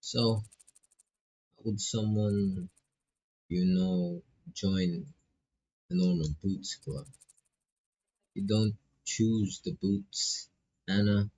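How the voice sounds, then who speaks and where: A man's voice: on the low side, animated, extremely slow, pauses often, darkish tone, slightly rough, rough recording. A man with a Filipino accent commentating a visual novel as he plays, casually reading dialogue aloud with playful asides. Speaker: streamer playing a visual novel. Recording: music behind the voice.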